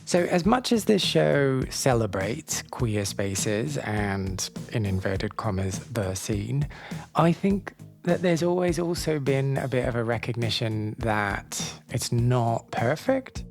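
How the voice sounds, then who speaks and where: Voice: mid-range voice, lively intonation, takes it slowly, creaky, faint music behind. A podcast host opening the show with a scripted intro, then chatting casually, dropping wry self-deprecating asides.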